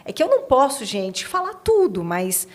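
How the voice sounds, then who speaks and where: A woman's voice: high-pitched, huge pitch swings, normal speed. A Brazilian woman talking conversationally as the host of an interview podcast.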